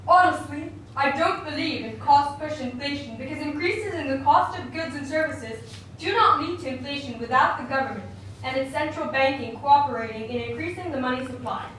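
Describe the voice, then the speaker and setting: A woman's voice: high-pitched, a little fast, a bit bright. A woman voicing an opinionated male economist puppet in a scripted mock interview, lecturing emphatically and dismissively. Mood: lecturing, assured. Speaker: interview guest. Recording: very echoey room, distant mic, faint noise.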